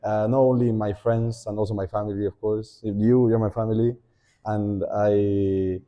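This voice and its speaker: A young man with an Argentine Spanish accent, Spanish as his first language, talking as a podcast guest in a thoughtful way, pausing to think and often restarting his sentences. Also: on the low side, little pitch movement, dull audio. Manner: reflective, thinking aloud with many fillers and restarts. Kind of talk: casual podcast conversation.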